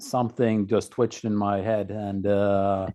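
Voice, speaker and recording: A man from Norway with a Norwegian accent, a podcast guest telling his own story casually in a halting, laconic way. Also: lowish voice, little pitch movement, mellow.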